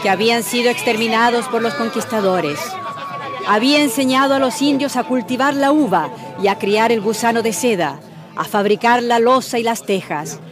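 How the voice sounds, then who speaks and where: Female radio drama narrator, higher voice, scripted storytelling, crowd and music underneath.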